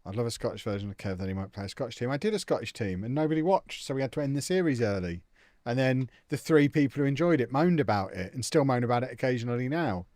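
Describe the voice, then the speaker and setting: A man's voice: mid-range voice, a little mellow, no echo, studio quality. A young man chatting casually and jokily on a live stream, answering viewers' comments as he plays a football management game.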